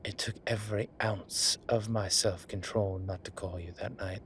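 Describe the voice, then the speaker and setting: A man playing a polite, flirtatious incubus demon in an intimate roleplay audio drama, with hesitant, formal phrasing and a softness that turns breathy at times. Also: on the low side, steady tone, moderate pace, slight background noise. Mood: flirtatious, teasing. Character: courteous, flirtatious male incubus demon, restrained and apologetic.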